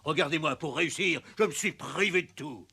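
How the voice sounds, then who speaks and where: A man's voice: on the high side, a little fast, bright, forceful tone, husky, exaggerated voice. A man voicing a greedy, self-pitying, blustering villain in a cartoon, with theatrical delivery. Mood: pompous. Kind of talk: cartoon voice acting.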